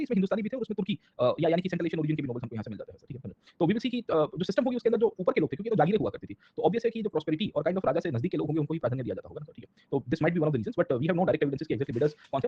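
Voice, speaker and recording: Indian male teacher for exam-prep lecture: fairly high-pitched, husky, informal, mixes languages, checks in often. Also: highs cut off. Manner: punctuates sentences with short check-in tags; mixes two languages mid-sentence. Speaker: history teacher in a live online class.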